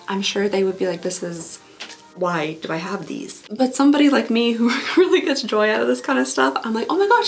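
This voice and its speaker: Woman, vlog host, high-ish pitch, rambling, giggly, hoarse voice. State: voice going hoarse. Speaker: vlogger host. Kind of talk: casual unboxing review.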